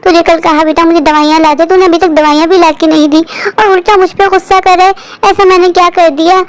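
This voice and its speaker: Woman as a high-voiced, aggrieved old mother. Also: fairly even intonation, quick pace. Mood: hurt, pleading. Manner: complaining, hurt. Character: aggrieved elderly mother pleading with her son.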